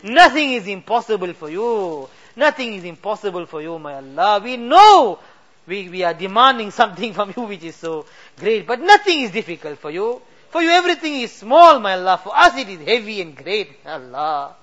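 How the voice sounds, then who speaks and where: A man leads a weeping supplication in a high, bright voice. His voice leaps from sobbing cries down to hushed, barely audible pleading. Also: big pitch swings, normal speed, dull audio. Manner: weeping, pleading, swings between loud cries and hushed murmurs. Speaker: imam leading a dua. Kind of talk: emotional supplication sermon.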